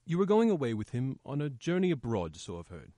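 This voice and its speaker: A man playing a calm, considerate police inspector in an old-time radio play, measured and reassuring as he explains. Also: mid-range voice, dry recording, studio quality. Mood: reassuring, solemn.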